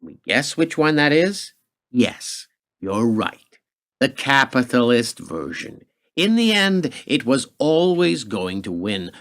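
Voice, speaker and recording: A smug, menacing senior American government official acted by a man in a radio drama. He is sardonic and condescending, with a medium-pitched voice that swings theatrically up and down. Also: very animated, slow, fairly bright tone, full character voice.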